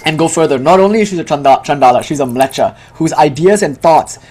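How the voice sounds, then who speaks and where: A man's voice: fairly high pitch, fast, darkish tone, a little hiss or hum. A man from Malaysia lecturing a live group as their teacher, explaining eagerly with frequent "like".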